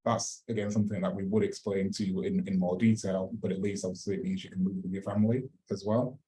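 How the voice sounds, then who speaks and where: A man explaining a residency program in a relaxed, chatty way, with fillers and restarts. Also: deep voice, fast talker, rough recording. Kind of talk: informational talk.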